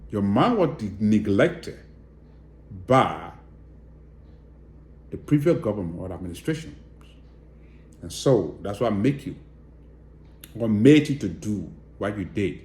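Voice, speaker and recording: A man's voice: on the low side, sing-song, slow, pauses often, a little mellow, reverberant. A man with a Liberian accent giving direct, emphatic political commentary and appealing to viewers. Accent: Liberian English.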